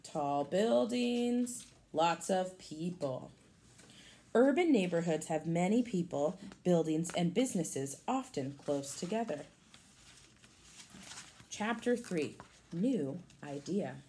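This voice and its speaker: Expressive low-pitched woman reading to children. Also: takes it slowly. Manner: expressive, explanatory, addressing young children. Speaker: teacher reading aloud. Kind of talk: children's picture book read-aloud with casual asides. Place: small room at home, indoors. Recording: home recording with clear background noise.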